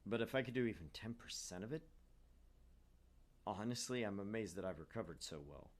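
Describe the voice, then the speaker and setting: A man's voice: lowish voice, brisk. A man hosting a gaming show, reading a visual novel aloud and commenting casually.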